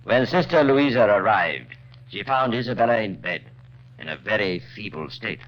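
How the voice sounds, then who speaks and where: A man's voice: fairly low pitch, expressive, moderate pace, lightly acted. A man narrating as a wry, urbane true-crime storyteller in 1950s radio drama style, dry and conversational. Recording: muffled, noisy old radio recording.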